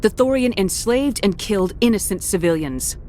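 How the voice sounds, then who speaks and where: A woman's voice: medium pitch, lively intonation, normal speed, dark tone, slight background noise, close to an everyday voice. A woman playing a tough, blunt military commander in a video game, with a curt, commanding manner. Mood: firm. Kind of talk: video game dialogue acting.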